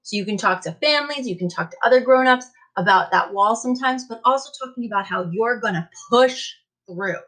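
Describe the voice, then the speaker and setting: A woman's voice: on the high side, a little fast, few pauses, fairly bright tone, rough recording. A woman in the role of a teacher reading a picture book aloud to children, speaking directly to them with emphatic encouragement.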